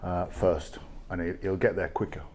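Low, mellow-voiced English coach. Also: male voice, fairly even intonation, a little hiss or hum. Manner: measured, halting, with frequent fillers and restarts. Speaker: rugby league head coach. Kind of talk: post-match press conference answers. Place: small press room with sponsor backdrop, seated at table. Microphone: table microphone.

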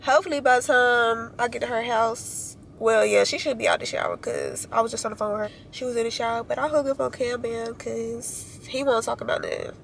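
A woman's voice: fairly high pitch, fairly even intonation, fairly quick, vocal fry, hardly any pauses, slight background noise. A young woman vlogging to a handheld camera, talking casually and slangily to her viewers. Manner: chatty, slangy, addresses viewers as y'all. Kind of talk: casual vlog chat to camera. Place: parked car and indoors at home. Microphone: handheld camera at arm's length.

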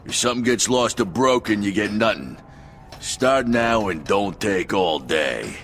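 An actor playing a gruff, brutish, foul-mouthed dock foreman in a crime video game, curt and bossy, with an American accent. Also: on the low side, animated, a little slow, fairly bright tone, soft background music. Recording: clear noise, vehicle sounds behind. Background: ambient vehicle noise.

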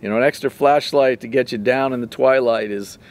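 A man's voice: mid-range voice, brisk. Older guy chatting on a forest walk, rambly and friendly, mic on the camera close by. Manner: rambling, chatty, acts out calls in a raised voice. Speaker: mushroom club member. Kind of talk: casual chat on a forest walk. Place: outdoors in a forest.

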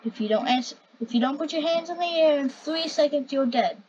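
A woman's voice: high voice, a little fast, a little mellow. A young woman playing a game with a friend, teasing and goofy in playful roleplay chat. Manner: goofy, teasing, squealing in bursts. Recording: slightly dull home mic with faint noise.

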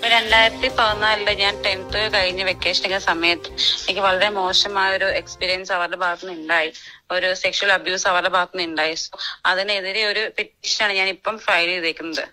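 A woman's voice: mid-range voice, fairly even intonation, brisk, sharp tone, faint music behind, rough recording. A woman in her twenties with a Kerala accent recounting events to the press, mixing in English words. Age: 20s. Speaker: complainant giving a statement.

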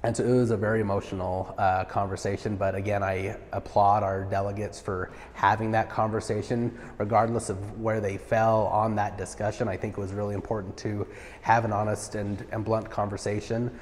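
A man's voice: on the low side, level tone, a little mellow, slight background noise. Earnest man in a reverberant hall. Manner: earnest, measured, many "uh" fillers. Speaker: party official interviewee. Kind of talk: interview answers. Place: large marble stairwell indoors. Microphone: lapel.

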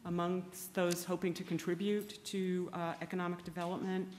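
A woman's voice: fairly low pitch, level tone, moderate pace, a little mellow, a little hiss or hum. An older woman moderating a panel from a lectern mic in an auditorium, casual and questioning.

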